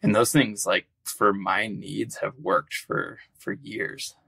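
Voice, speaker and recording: Male podcast guest, low gravelly voice, easygoing and rambling, lots of fillers. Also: steady tone, slow pace, a bit bright. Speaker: guest, outdoor cookbook author. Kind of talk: casual interview talk.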